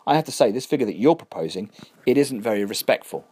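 A man's voice: medium pitch, lively intonation, fast talker, mellow, breathy edge. A man voicing a smug, wealthy male landlord who speaks coldly and cuttingly in a storybook reading. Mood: condescending.

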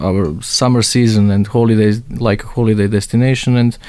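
A man with a Croatian accent and a soft, mellow, slightly low voice answers in an interview. He speaks haltingly, searching for his words as he goes. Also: level tone, clear, resonant tone, no echo. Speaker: interview guest. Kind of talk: conversational interview.

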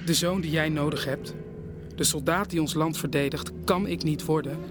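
An actor playing an earnest, defiant young son in an audio drama, speaking deliberately. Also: male voice, fairly high pitch, fairly even intonation, over music, close to an everyday voice. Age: young adult.

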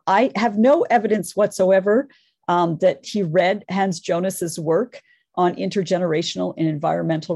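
A woman's voice: on the low side, mellow, husky, no echo, clean studio sound. Earnest woman presenting her research. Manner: earnest, enthusiastic, thinks aloud. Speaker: academic panelist. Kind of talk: academic conference presentation.

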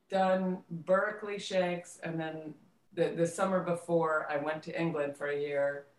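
A middle-aged woman in a conversational interview, recalling things haltingly and repeating words as she thinks. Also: sits low. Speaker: guest, theater director and actress. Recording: roomy, echoing voice pickup.

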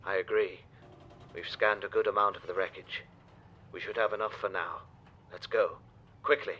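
An actor in an audio drama playing a grave, weary old ship's builder who speaks solemnly and formally. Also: male voice, sits low, fairly even intonation, pushed and bright, dull audio, a little hiss or hum. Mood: urgent. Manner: solemn, formal, measured phrasing.

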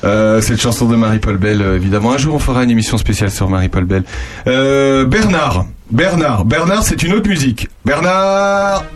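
A man's voice: medium pitch, expressive, normal speed. A man hosting a radio show in a casual, jovial and chatty way, speaking off the cuff. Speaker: radio host. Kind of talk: casual unscripted radio talk.

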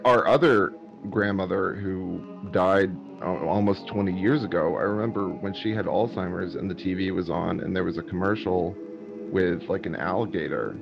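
Male, low and gravelly, halting delivery, muffled with music. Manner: halting, hesitant, many pauses and fillers. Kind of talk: casual conversation. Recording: muffled recording with clear music behind.

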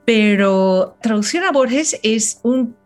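A woman's voice: a little high, expressive, slow, faint music behind. A woman translator and scholar who grew up in the Philippines and California, answering interview questions reflectively with frequent restarts.

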